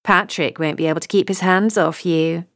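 A woman's voice: on the low side, fairly even intonation, moderate pace, dry recording, clean studio sound, natural voice. A woman voicing a snobbish, gushing young bride, a little spoilt and breathless. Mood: chatty.

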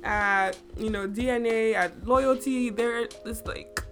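Chatty young woman, slightly raspy. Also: fairly high pitch, fairly even intonation, slow, fairly bright tone, soft background music. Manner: chatty, frequent "like" fillers, playful boasting. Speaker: podcast host. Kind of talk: casual solo podcast monologue.

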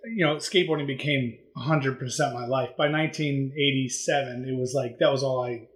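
A man's voice: medium pitch, fairly quick. Man in his forties telling stories, echoey room. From Maryland and Virginia, near DC. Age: 40s. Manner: rambling, nostalgic, restarts often. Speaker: skate shop owner, guest. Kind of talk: casual chat, storytelling.

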